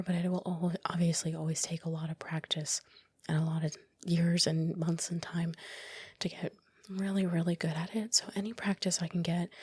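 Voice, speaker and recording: A woman whispering softly and breathily close to the microphone, narrating casually and unhurriedly in an ASMR style with some hesitant phrasing. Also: on the low side, breathy edge, no echo. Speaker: ASMR creator narrating her time-lapse. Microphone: close microphone, dry voice-over.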